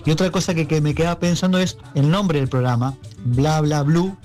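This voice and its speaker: A man with an Argentine accent speaking as a musician guest in an interview, reflective and halting, often checking for agreement. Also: a little high, a little mellow, soft background music. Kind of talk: conversational interview.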